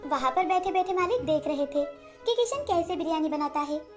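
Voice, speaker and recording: A woman's voice: sits high, expressive, fairly quick, darkish tone, faint music behind. A woman reading a scripted story as its narrator.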